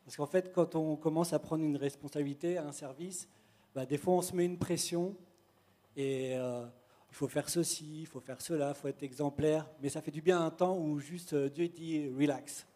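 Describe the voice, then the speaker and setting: A man who is a youth leader, giving a relaxed, conversational church testimony with frequent fillers. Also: fairly high pitch, normal speed.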